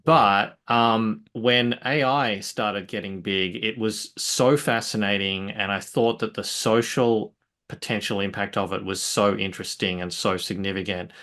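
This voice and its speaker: A man from Australia, relaxed and self-deprecating as a podcast guest telling his story. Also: lowish voice, measured pace. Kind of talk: interview storytelling.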